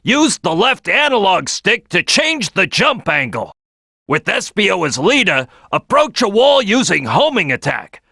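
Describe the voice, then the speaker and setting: A man's voice: high-pitched, very animated, measured pace, creaky, pushed and bright, breathy edge, dry recording, studio quality, full character voice. A man voicing a big brash cartoon crocodile team leader in a video game, giving tutorial tips with emphatic, punchy delivery. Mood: instructive, upbeat. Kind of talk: animated game tutorial voice lines.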